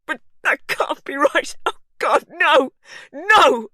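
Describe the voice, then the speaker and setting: A woman voicing a desperate young husband who shouts in panic and grief. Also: on the low side, measured pace, breathy edge, no echo, clean studio sound. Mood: frantic.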